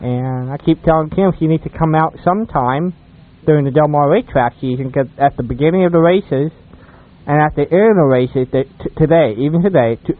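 A man hosting a casual radio chat about old-time radio, speaking haltingly with repeated words and restarts. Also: on the high side. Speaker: radio show host. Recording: tinny, narrow phone-like radio line.

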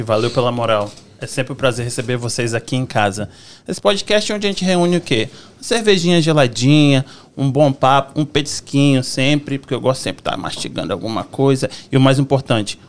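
A Brazilian man hosting a casual podcast, playful and chatty, often mimicking others. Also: medium pitch. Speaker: podcast host.

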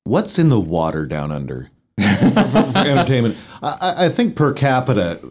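A man's voice: mid-range voice, very animated, normal speed, darkish tone. American man, interview host, jokey, laughs a lot, stammers a bit. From United States. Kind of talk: interview questions, casual chat.

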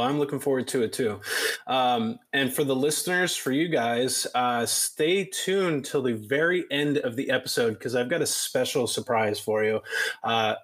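A man's voice: mid-range voice, a bit bright. A man hosting a podcast interview in a casual, enthusiastic way. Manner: informal, enthusiastic, complimentary. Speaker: podcast host.

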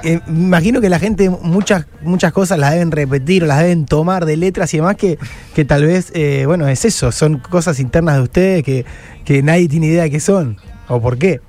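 A fairly high male voice with a Rioplatense Argentine accent, over faint music. He speaks as an interview co-host in casual conversation, haltingly, often rewording a thought midway. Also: darkish tone. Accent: Rioplatense Argentine Spanish. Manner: halting, rephrasing as he goes.

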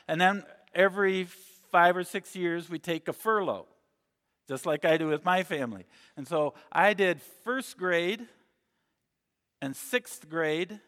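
A man raised in Mexico preaching a sermon in an earnest way, slowing down and dropping his voice for scripture and emphasis. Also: on the high side, fairly bright tone. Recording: long reverb in a big hall.

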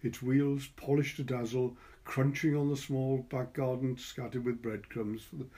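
A Welsh man with a soft, dark-toned voice of middling pitch. He speaks as a curator and reader, moving through explanation and literary prose in a relaxed way. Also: normal speed. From Wales. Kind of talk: informal introduction and literary story reading.

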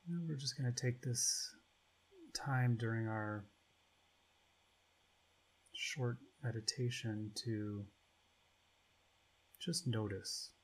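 A man leading a guided meditation as its guide, speaking in short, spacious phrases with long pauses between them. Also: mid-range voice, steady tone, husky, poor audio.

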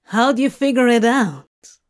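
Brash, anxious male demon, read by a woman, louder and rougher than the narration, unhurried. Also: fairly high pitch, lively intonation. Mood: emotional.

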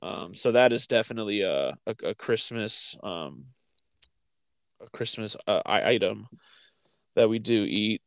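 A man with a smooth, resonant voice talks casually, recorded very dry. He co-hosts a chat and often halts and restarts as he thinks aloud. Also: fairly low pitch, expressive, measured pace, pauses often, dry recording. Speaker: podcast co-host.

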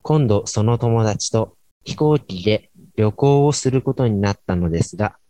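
A man's voice: on the low side, expressive, moderate pace, mellow, no echo, lightly acted. A man playing a laid-back late-night radio host and dry straight man in an amateur radio drama. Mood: relaxed, wry.

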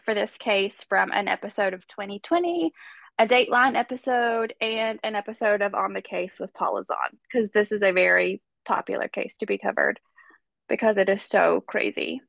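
A woman's voice: mid-range voice, moderate pace, vocal fry, fairly bright tone, dry recording. A woman hosting a podcast, telling a true-crime story in a chatty, casual way while stuffed up with a cold. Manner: chatty, conversational, many fillers. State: congested with a cold. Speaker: podcast host.

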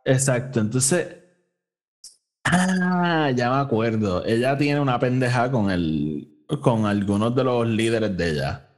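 A man's voice: mid-range voice, lively intonation, slow pace. A man with a Puerto Rican accent hosting a casual podcast review, recounting a story conversationally and dropping English phrases into his Spanish. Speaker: podcast host reviewing an audio drama.

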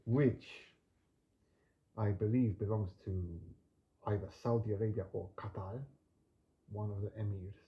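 A man hosting a relaxed podcast, talking in a gentle, unhurried and reflective way, with soft trailing endings and some Greek words read with a learner's accent. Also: on the low side, highs cut off. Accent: non-native Greek pronunciation when reading. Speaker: podcast host. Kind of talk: relaxed spoken monologue with poetry reading.

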